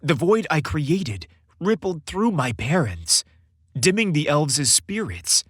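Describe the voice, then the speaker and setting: Man acting an excitable, playful young man. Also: on the high side, takes it slowly, breathy edge, no room sound, studio quality, heavily acted. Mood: excited, elated.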